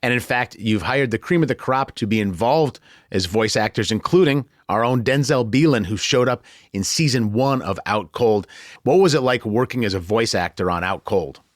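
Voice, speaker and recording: A man's voice: mid-range voice, normal speed, no room sound, studio quality. An American man hosting a podcast in a chatty, conversational, playfully self-deprecating way. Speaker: podcast host.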